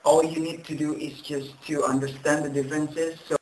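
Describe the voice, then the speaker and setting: A man's voice: a little high, level tone, moderate pace, darkish tone. A man, an international student, talking casually in an interview testimonial. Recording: echoey room with faint noise.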